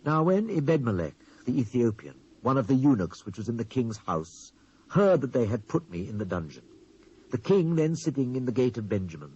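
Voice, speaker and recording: A British man reading scripture as a dramatised narration, with a solemn, theatrical and deliberate delivery. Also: medium pitch, big pitch swings, mellow, slightly rough. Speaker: Bible narrator. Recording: dull lo-fi old cassette transfer.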